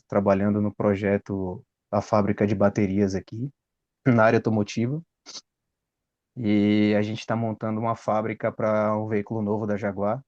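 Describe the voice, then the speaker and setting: A man's voice: fairly low pitch, a little mellow. Man, thirties, guest, rambling chat, muffled sound. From Salvador, Brazil. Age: 30s. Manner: rambling, frequent "né?" tags. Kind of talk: casual storytelling.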